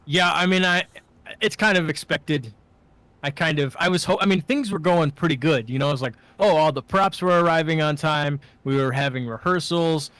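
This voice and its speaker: A young man chats casually as a podcast co-host. His voice sits a little high and is recorded dry, without room sound. He talks in a rambling way, cutting himself off and starting again. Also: normal speed, fairly bright tone, no room sound. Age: young adult. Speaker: podcast co-host and student filmmaker. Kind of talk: casual chat.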